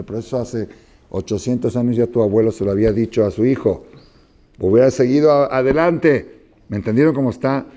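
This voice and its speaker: A man in his sixties teaching a Torah class in a study hall, expressive and often asking his listeners whether they follow. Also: on the low side. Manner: expressive, questioning listeners, acting out dialogue. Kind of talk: animated Torah lesson with parables. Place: study hall with wooden chairs, indoors.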